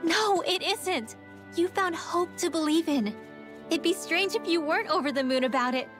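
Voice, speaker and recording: An earnest teenage girl from a fantasy game, supportive in manner. Her voice is high, with faint music behind it. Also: moderate pace. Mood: encouraging. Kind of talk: dramatic video game cutscene acting.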